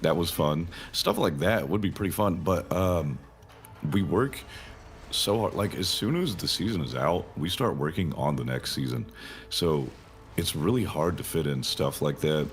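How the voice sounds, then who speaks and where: A man's voice: very deep voice, lively intonation, normal speed, a little hiss or hum. A man who works as a game developer, answering interview questions in a rambling way, thinking aloud with lots of fillers.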